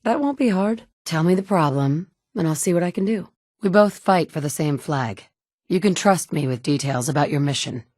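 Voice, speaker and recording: A tough, wry survivor heroine of a video game, speaking in a slightly low, blunt voice. She delivers her lines with dry sarcasm. The studio sound is close and dry. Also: lively intonation, normal speed, a little mellow, dry recording. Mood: terse. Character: tough wry woman survivor, young adult. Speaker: player character.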